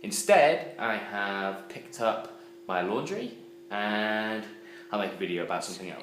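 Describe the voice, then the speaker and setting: A man with a low, deep voice chats casually into the camera. He pokes fun at himself and fills his pauses with ums and uhs. His voice comes from well away from the mic, with heavy echo and a little background noise. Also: fairly bright tone. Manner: chatty, self-mocking, with frequent ums and uhs. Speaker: vlogger. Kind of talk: casual vlog talk to camera. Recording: very echoey, distant mic, faint noise.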